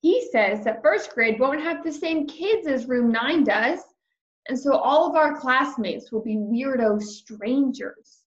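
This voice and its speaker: A woman voicing an excitable, brash kindergarten girl from a storybook, chatty and emphatic with childish grammar. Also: high-pitched, takes it slowly, roomy sound, muffled recording. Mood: unsure, chatty.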